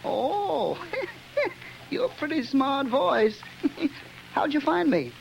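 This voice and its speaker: A man's voice: very high-pitched, very animated, extremely slow, vocal fry, slight background noise, exaggerated voice, poor audio. An actor playing an unhinged, giggling male killer who stammers and talks in crude grammar, in a 1930s radio drama. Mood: uneasy.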